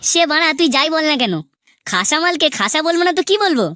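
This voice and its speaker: Man voicing a high-pitched, crude young cartoon lad. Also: animated, quick pace, bright, forceful tone, no room sound, heavily acted. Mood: crude teasing. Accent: West Bengal. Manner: foul-mouthed, mocking banter. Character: crude, teasing young village men, bantering friends. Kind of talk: comic cartoon dialogue.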